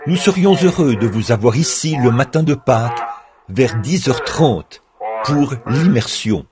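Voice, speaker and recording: A man reads a translated sermon aloud at a middle pitch, measured and earnest in the manner of a preacher. His voice-over sits on top of the original congregation recording, whose crowd sounds come through clearly behind him. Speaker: French reader of a translated sermon.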